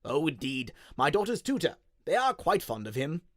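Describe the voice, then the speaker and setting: Man as a genial plump king, lively pitch. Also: moderate pace, no room sound, studio quality. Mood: genial, amused. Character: plump, genial king, easygoing and a little nervous.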